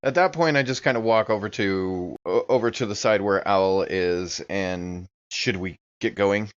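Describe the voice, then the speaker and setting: A man's voice: on the low side, measured pace. A man chatting casually as a player in a tabletop role-playing game, on a slightly dull recording. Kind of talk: casual improvised role-play chat.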